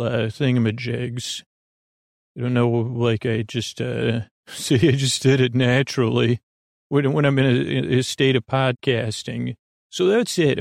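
A man with a creaky voice hosting a sleep podcast, giving a soothing, meandering, hesitant bedtime monologue that keeps drifting off on tangents. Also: medium pitch, no room sound, clean studio sound.

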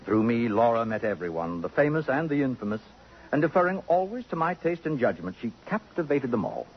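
An actor playing a vain, acid-tongued middle-aged columnist with pompous, precise diction in a 1950s radio drama. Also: male voice, medium pitch, big pitch swings, a little fast, breathy edge. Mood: wistful, self-important. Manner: pompous, precise, sardonic, elaborate phrasing. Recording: muffled, noisy 1950s radio broadcast.

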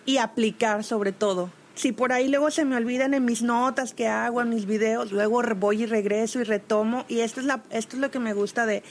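A woman hosting a podcast, introducing her guest in an admiring, somewhat halting way, often restarting her phrases. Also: fairly high pitch, steady tone, a little hiss or hum. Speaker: podcast host.

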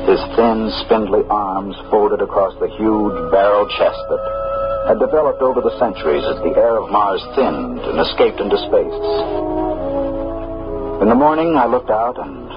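An actor playing a grown man soberly recalling his boyhood in measured, grave first-person radio narration, with a muffled old sound. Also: on the low side, lively intonation. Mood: sombre, reflective. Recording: muffled old radio recording, loud music.

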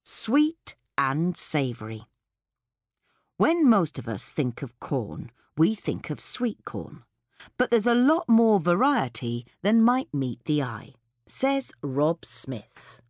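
A British woman reading a scripted magazine article in a clear, measured manner. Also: sits low, huge pitch swings, no room sound, clean studio sound. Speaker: podcast article reader. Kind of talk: scripted narration of a gardening article.

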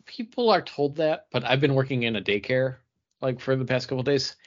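A man's voice: mid-range voice, fairly quick, very noisy. A man co-hosting a podcast, speaking in casual, bantering chat about geek culture. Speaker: podcast co-host. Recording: dull, lo-fi podcast audio.

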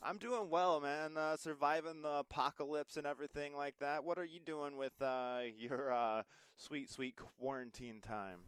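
A man's voice: a little high, fairly even intonation, moderate pace, few pauses, fairly bright tone, smooth, no room sound. A man from Iowa hosting a podcast, chatting casually and easygoing, with plenty of filler words. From Iowa, US Midwest. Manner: easygoing, laid-back banter with filler words. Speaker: podcast host. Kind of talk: casual podcast interview chat.

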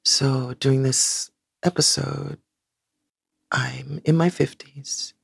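A woman's voice: a bass voice, steady tone, drawn-out words, gravelly, slightly rough, no room sound, studio quality. A woman in her sixties, a guest in an interview, talking reflectively and searching for words with many "you know"s. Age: 60s. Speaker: guest, singer.

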